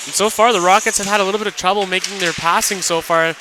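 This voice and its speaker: A man offers colour commentary on a live radio hockey broadcast. His voice is high for a man, and music is clearly audible behind him in the arena. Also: steady tone, fast talker, poor audio. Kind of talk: live sports analysis on radio.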